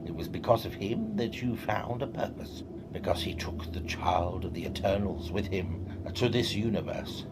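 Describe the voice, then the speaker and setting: An actor playing a solemn, mysterious celestial guide in an audio drama, hushed, breathy and portentous. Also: male voice, low-pitched, lively intonation, normal speed, few pauses, in a whisper. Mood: solemn revelation.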